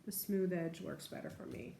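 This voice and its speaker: A woman's voice: fairly low pitch, normal speed, gravelly, mellow. Chatty woman in an echoey room. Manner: chatty, informal, narrates each step. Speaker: nail tutorial vlogger. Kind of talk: casual hands-on demonstration chat. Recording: echoey room with faint background noise.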